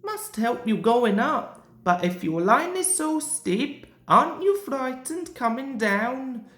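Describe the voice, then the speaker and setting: A woman puts on a curious, wary old steam engine for a children's storybook. The voice is raised and emphatic, with slow delivery in an echoey room. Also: a little high, very animated, voice pushed up. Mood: wary questioning. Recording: roomy, echoing home recording.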